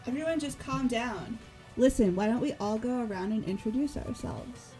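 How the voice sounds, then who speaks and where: A woman's voice: on the high side, moderate pace, mellow, rough recording. A woman reading out character dialogue as part of a game playthrough with friends, music behind her.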